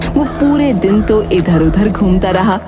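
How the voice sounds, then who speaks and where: A female storyteller reads scripted fairy-tale narration in a mid-pitched voice. Music plays clearly beneath her words. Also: expressive, a little slow. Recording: music under the voice.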